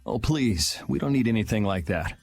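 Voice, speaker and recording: A man's voice: on the low side, lively intonation, normal speed, vocal fry, a little mellow, breathy edge, exaggerated voice. A man voicing a cool, protective young swordsman in a video game, casual and matter-of-fact. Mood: reassuring. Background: background music.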